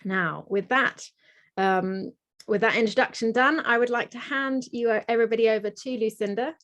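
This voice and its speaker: A woman's voice: on the high side, moderate pace, dull audio. A woman hosting a webinar, introducing her guest in an admiring way with fillers and repeated words. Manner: warm, admiring, with fillers and restarts. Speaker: webinar host.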